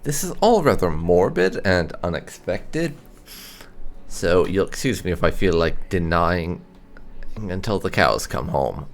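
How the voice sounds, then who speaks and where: A man giving casual, chatty let's play commentary on a game, musing with hesitant pauses. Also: low voice, very animated. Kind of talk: casual gameplay commentary, reading dialogue choices aloud.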